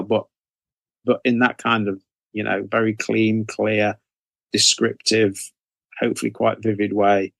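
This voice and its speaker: A man's voice: fairly low pitch, no room sound. A middle-aged man with a British accent, telling anecdotes as an interview guest in a rambling, self-interrupting way.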